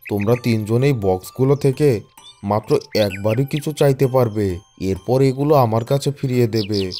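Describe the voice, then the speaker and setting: Male actor as kindly holy hermit, stern on greed, medium pitch, warm timbre, cartoon. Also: lightly acted. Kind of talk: scripted cartoon story dialogue.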